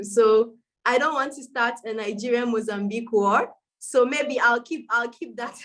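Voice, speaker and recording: A woman's voice: on the high side, a bit bright, low-quality recording. A woman moderating an online panel, summarizing points and joking lightly with her guests. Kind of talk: webinar moderation.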